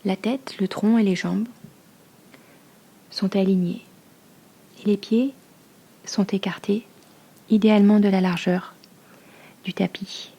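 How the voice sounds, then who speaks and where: A woman leads a guided meditation as a yoga nidra teacher, speaking slowly in a hushed, soothing manner with long pauses and a soft, breathy voice. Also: mid-range voice, fairly even intonation.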